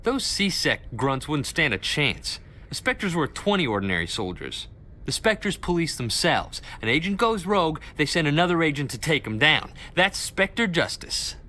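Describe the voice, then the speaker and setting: An actor playing an earnest, excitable young male corporal in a video game. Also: fairly high pitch, moderate pace. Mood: excited. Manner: earnest, eager.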